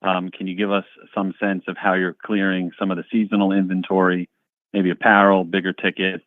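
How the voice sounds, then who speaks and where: A man calling in as a financial analyst, asking questions in a halting, conversational way. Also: low-pitched, level tone, dry recording. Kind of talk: spontaneous question on a business call. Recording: dry, narrow phone line.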